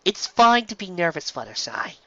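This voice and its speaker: Woman as a bright, bookish pony leader. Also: medium pitch, big pitch swings, normal speed, no echo, dull audio, pitched above the performer's own voice. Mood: reassuring. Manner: explanatory, earnest, precise. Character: bookish, earnest, bossy young female pony leader.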